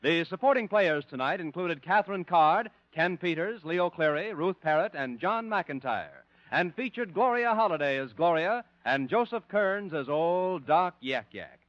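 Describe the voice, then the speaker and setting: A man announcing a 1950s radio network show in a smooth, formal manner, from a dry, muffled old broadcast with music. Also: high voice, expressive, normal speed, hardly any pauses, dry recording.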